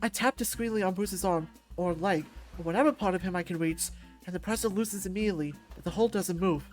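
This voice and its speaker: A woman reading a visual novel aloud as expressive first-person narration. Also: fairly low pitch, quick pace. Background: music.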